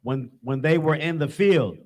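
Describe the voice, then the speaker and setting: A man reading scripture verses aloud in a steady, plain way. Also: fairly high pitch, moderate pace. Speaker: scripture reader.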